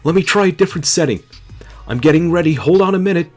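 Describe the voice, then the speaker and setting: Man putting on an eager, high-voiced male tech sidekick. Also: lively intonation, fast, a little mellow, faint music behind. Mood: flustered. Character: eager, nervous male tech-support ally of a spy.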